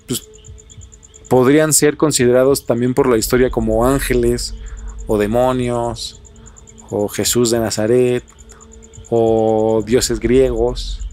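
A man with a Mexican accent hosting a podcast, telling things conversationally and posing rhetorical questions as he speculates. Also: medium pitch. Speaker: podcast host.

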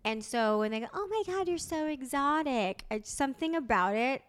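A woman's voice: high-pitched, lively intonation, normal speed, smooth. A woman guest on a podcast, chatting expressively and laughing into her words. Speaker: podcast guest, podcast host elsewhere. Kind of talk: casual chat.

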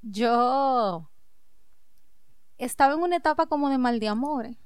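A woman's voice: high-pitched, unhurried, clean tone, no room sound. Female co-host, easygoing and talkative, close dry sound. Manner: chatty, addresses listeners directly, laughs easily. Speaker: podcast co-host. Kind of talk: casual chat and reading her own writing aloud.

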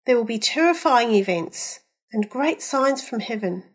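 A woman reading scripture aloud in a measured, deliberate, solemn way. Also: fairly high pitch, animated, husky. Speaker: scripture reader.

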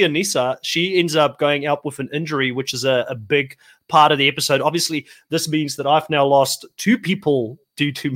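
A man's voice: on the high side, fairly bright tone. A man, an Afrikaans speaker, hosting a casual panel discussion. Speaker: host.

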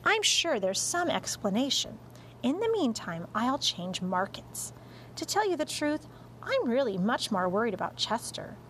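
A woman's voice: fairly high pitch, sing-song, measured pace, fairly bright tone, a little hiss or hum, rough recording. A woman voicing a doting, fussy mother who coos affectionately in a storybook reading. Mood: gently concerned. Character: doting mother cooing baby talk at her cat. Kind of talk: audiobook character voice.

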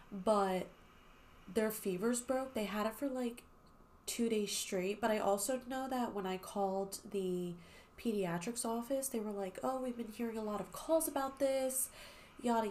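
A woman's voice: mid-range voice, slight background noise. A young woman hosting a podcast, chatting casually with lots of fillers and swearing, her voice a little nasal and congested. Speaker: podcast host. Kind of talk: casual chat.

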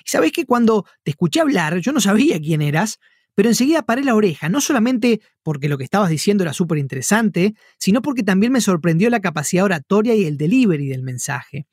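Higher-voiced man hosting, dry sound. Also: big pitch swings, quick pace, darkish tone, breathy edge, no room sound. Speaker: podcast host and interviewer. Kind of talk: scripted podcast introduction and interview questions.

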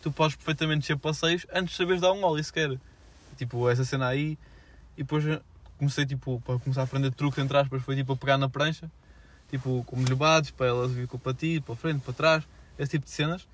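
Fast-talking young Portuguese man, slangy. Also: mid-range voice, fairly even intonation. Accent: European Portuguese. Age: young adult. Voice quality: slangy, filler-heavy speech. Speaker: podcast co-host. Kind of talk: casual unscripted chat.

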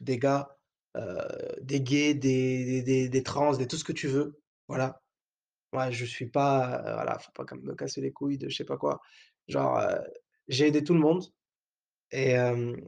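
A man's voice: mid-range voice, gravelly, warm tone. A young man hosting his own podcast in a casual, provocative monologue, joking around in crude slang with lots of fillers and hesitations. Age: young adult. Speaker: podcast host.

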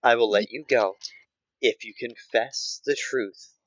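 A man voicing a stern old motherly deity who speaks slowly and draws out the word "child.". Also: medium pitch, fairly even intonation, no room sound, lo-fi sound, pitched below the performer's own voice, lightly acted. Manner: slow, commanding, draws out "child".

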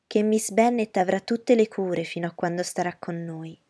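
A woman's voice: lowish voice, warm tone, close to an everyday voice. A woman doing a coldly polite young lady. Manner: slow, cold courtesy.

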